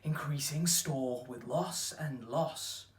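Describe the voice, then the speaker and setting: An actor playing a grieving, brooding lover who recites Elizabethan verse in a slow, weighty, declamatory way. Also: mid-range voice, echoey room, close to an everyday voice. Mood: solemn, mournful. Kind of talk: theatrical monologue acting. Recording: distant microphone.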